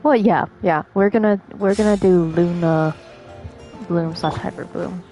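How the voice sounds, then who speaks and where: A woman's voice: lowish voice, measured pace, warm tone. A woman livestreamer chatting casually as she comments on a game she is playing. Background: game music.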